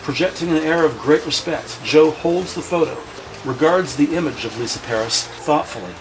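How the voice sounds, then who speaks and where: A man's voice: a little high, normal speed, noisy background. A man narrating a scripted police drama in a precise, descriptive manner. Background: music.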